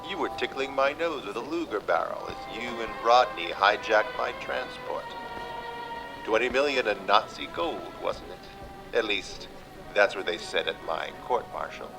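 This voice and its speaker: An actor playing a cynical ex-fighter pilot hero in 1940s film noir style, terse and sardonic. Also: male voice, lowish voice, expressive, measured pace, vocal fry, over music, rough recording. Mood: sardonic, bitter.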